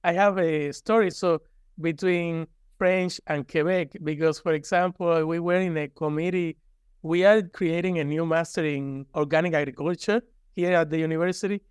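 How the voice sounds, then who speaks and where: Higher-pitched man with a Cuban accent. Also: fairly even intonation, normal speed, clean tone, dry recording, studio quality. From Cuba. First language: Spanish. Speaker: guest, university professor. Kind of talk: conversational interview.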